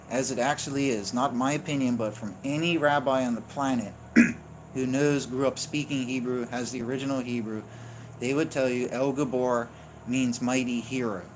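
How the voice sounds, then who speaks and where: A man's voice: medium pitch, poor audio. A man teaching in an unscripted, insistent way, explaining point by point and checking in with "right?" and "okay?". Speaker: religious teacher. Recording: noticeable background noise.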